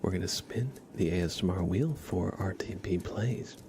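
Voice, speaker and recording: An American man hosting an ASMR livestream, speaking in a soft, breathy whisper with an unhurried, gently reassuring manner. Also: sits low, slight background noise. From East Coast of the United States, near New York. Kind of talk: whispered ASMR, casual livestream chat and card reading.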